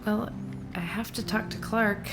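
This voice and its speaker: A woman's voice: medium pitch, fairly even intonation, measured pace, music in the background, lightly acted. A woman playing a conflicted, tired neuroscientist mother in a naturalistic audio drama, speaking in a hesitant, conversational way. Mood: weary, uncertain. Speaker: voice actor in a musical audio drama.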